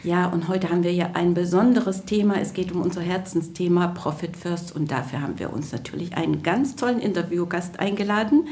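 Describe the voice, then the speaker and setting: A woman's voice: lowish voice, fairly quick, few pauses, darkish tone, echoey room. A woman co-hosting a business podcast interview, chatty and friendly with many fillers, still a bit sick with a cold. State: has a cold. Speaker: podcast co-host.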